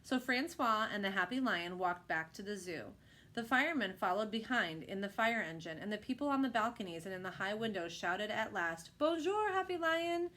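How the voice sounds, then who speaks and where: A woman reading a children's picture book aloud with expressive narration. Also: medium pitch, normal speed.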